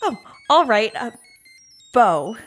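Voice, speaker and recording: A woman's voice: a little high, sing-song, slow pace, faint music behind, exaggerated voice. A woman playing an earnest, starstruck assistant and trainee in an audio comedy, deferential and a little flustered. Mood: eager, flustered. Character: eager, starstruck female assistant and agent trainee.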